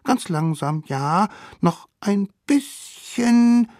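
A man voicing a group of cheeky, scheming little cave kids, in a high, sly and wheedling voice. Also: animated, drawn-out words, darkish tone, no echo, studio quality, heavily acted.

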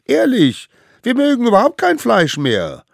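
A bunch of squeaky little wild kids, as a male storyteller puts them on, blurting out eager excuses at the top of their voices. The voice jumps all over the place and stays loud. It sounds dry and close. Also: huge pitch swings, unhurried, gravelly, no room sound, full character voice. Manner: high squeaky shouting, babbling excuses. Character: small excitable stone-age kids, eager and fibbing.

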